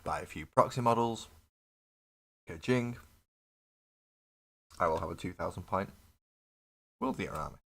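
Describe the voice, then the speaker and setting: Chatty deep-voiced man. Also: lively intonation, measured pace, gravelly, pauses often, a bit bright. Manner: chatty, laughs often, talks to viewers in chat. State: coughing. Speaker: mini painting livestream host. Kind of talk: casual chat while building models.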